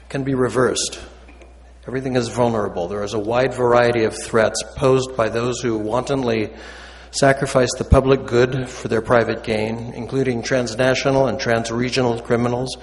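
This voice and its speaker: Man giving formal, measured opening remarks, hall reverb. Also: mid-range voice, slight background noise. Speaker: book co-editor, event opener.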